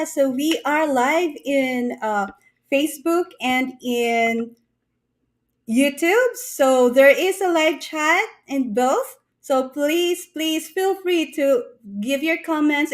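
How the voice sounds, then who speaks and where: A woman's voice: sits high, slow pace. A woman hosting a live-streamed interview, friendly and eager, laughing often. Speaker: host.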